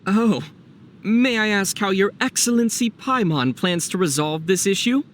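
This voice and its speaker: A man playing a youthful, thoughtful, gently teasing adventurer in video game voice acting, with a measured, wry delivery. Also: high voice, heavy background noise. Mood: playful.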